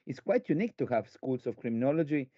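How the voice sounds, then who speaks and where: Hesitant man with lively pitch. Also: fast, dry recording. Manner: hesitant, searching for words. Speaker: podcast co-host. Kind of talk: interview questions, casual. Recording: dry, dull lo-fi audio.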